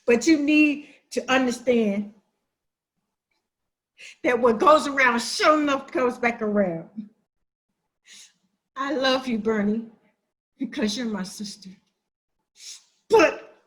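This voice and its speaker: A woman's voice: on the high side, takes it slowly, pauses often, a bit bright. A woman acting a hurt, defiant, sassy sister who makes emphatic accusations in a dramatic play reading. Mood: hurt, solemn. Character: wounded, defiant younger-minded sister confronting her sibling.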